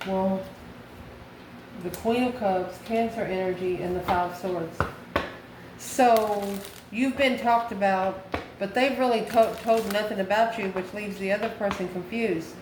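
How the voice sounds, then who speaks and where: An echoey room with noticeable background noise surrounds a woman of ordinary pitch. She reads tarot as a channel host, speaking directly to the viewer in a folksy, familiar way and repeating phrases for emphasis. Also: fairly even intonation, a little slow. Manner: folksy, familiar, addresses listener as honey and baby. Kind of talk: tarot reading addressed to viewers.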